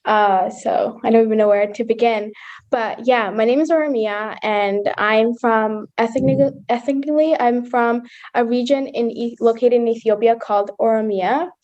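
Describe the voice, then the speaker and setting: Higher-voiced woman over muffled call audio. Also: normal speed, darkish tone. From Somalia. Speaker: panelist. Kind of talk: self-introduction on a video call panel. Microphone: video call.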